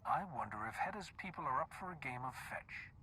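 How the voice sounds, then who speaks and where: An actor playing a compassionate, calm male Jedi in a video game, earnest and measured, with a breathy, husky edge. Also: mid-range voice, a little hiss or hum, low-quality recording. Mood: composed. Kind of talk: video game cutscene acting.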